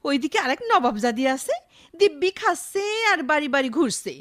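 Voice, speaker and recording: An actress playing an elderly, grumbling yet doting village grandmother in a Bengali radio drama, with a rural Bangladeshi accent and drawn-out, expressive speech. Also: high voice, dry recording, studio quality.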